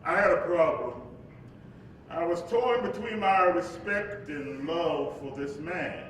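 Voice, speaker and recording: Reflective orator-ghost played by a man, theatrical monologue, high male voice in a reverberant, faintly noisy hall. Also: expressive, slow, fairly bright tone. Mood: wry, confiding. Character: reflective spirit of a civil rights preacher narrating his life. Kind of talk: theatrical first-person monologue in a staged reading. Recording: distant echoey hall recording, faint rumbling noise.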